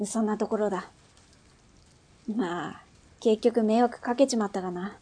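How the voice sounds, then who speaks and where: An actress playing a tough, brusque female underworld boss and mother in a radio drama, speaking in a rough, mannish, commanding way. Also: mid-range voice, unhurried, warm tone. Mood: guarded, regretful. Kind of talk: Japanese radio drama acting.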